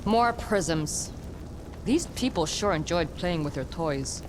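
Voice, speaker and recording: A woman's voice: on the low side, lively intonation, slow pace, background noise. A woman playing a practical, dry-witted adventurer in a video game, blunt, focused and down-to-earth. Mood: wry. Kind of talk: dramatic video game dialogue. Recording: prominent music behind.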